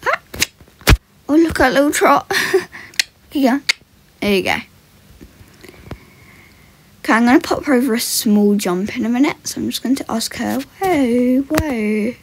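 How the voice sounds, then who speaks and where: A woman's voice: a little high, moderate pace, pauses often, slight background noise. A young woman giving casual vlog commentary while riding, breaking off into short soothing commands and murmured praise to a horse. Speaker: horse rider in a voiced role-play video.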